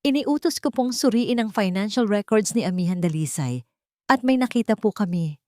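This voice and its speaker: A woman voicing a billionaire's calm male assistant in an audio drama, composed, deferential and businesslike. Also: medium pitch, normal speed, no room sound, studio quality, voice pushed up, lightly acted.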